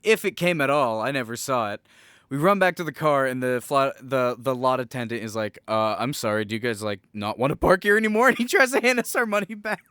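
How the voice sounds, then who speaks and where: A man's voice: mid-range voice, animated, fairly quick, a bit bright, clean tone, no room sound, studio quality. A young man hosting a casual podcast, joking, laughing often and swearing while telling a story. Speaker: podcast host.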